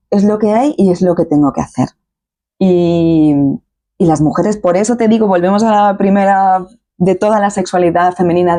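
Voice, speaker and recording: A woman's voice: on the low side, mellow. A woman with a Manchego Spanish accent, co-hosting a casual podcast conversation and making her points emphatically. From Castilla-La Mancha, Spain. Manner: emphatic, agrees constantly while the other talks. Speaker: podcast co-host.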